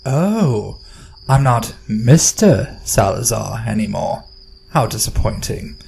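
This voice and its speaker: Man as slow, gravelly, smug villain. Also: medium pitch, big pitch swings, a little mellow, a little hiss or hum, full character voice. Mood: smug menace. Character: smug, menacing male villain with a blade. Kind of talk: audio drama acting.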